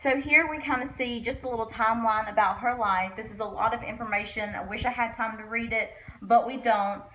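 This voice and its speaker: A woman reading a children's picture book aloud with expressive storytelling delivery. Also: a little high, a little fast, few pauses, a little hiss or hum, low-quality recording. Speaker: guest read-aloud reader.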